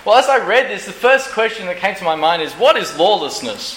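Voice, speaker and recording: A hoarse man preaching a Bible study in short phrases, pausing often so an interpreter can follow. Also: sits high, expressive, a bit bright, a little hiss or hum. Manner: pauses often for translation, earnest. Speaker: pastor. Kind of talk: sermon with an interpreter. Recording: echoey room.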